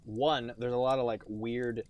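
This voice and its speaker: A man's voice: mid-range voice, little pitch movement, a little slow, darkish tone, no echo, lo-fi sound. A man hosting a comedy podcast, joking sarcastically in casual chat. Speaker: podcast host.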